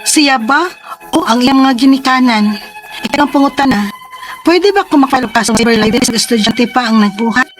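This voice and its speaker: A woman's voice: high voice, brisk, lightly acted. A woman playing a distressed, earnest teenage schoolgirl confiding her troubles in a radio drama. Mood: earnest, troubled. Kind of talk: Cebuano radio drama acting and letter narration. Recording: music behind the voice. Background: music.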